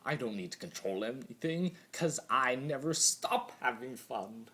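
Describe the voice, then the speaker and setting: A man's voice: mid-range voice, lively intonation, unhurried, pushed and bright. A man voicing a mad, immortal chaos spirit in a theatrical dramatic monologue, torn between glee and brooding. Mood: manic glee. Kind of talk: dramatic fan-fiction monologue reading.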